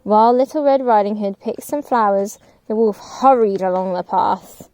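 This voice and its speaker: A woman's voice: medium pitch, moderate pace, a little mellow, no room sound, poor audio. A woman telling a story to young children as a storybook reader.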